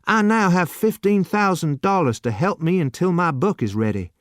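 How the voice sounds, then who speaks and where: A man voicing a naive, eager seventeen-year-old boy who exclaims with wide-eyed excitement. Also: high-pitched, moderate pace, no echo, studio quality, pitched above the performer's own voice.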